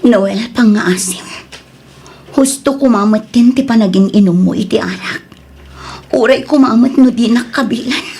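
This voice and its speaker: A woman's voice: on the high side, moderate pace, darkish tone, a little hiss or hum, close to an everyday voice. A woman with an Ilocano Filipino accent playing a long-suffering, submissive young wife in an audio drama. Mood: gentle reproach.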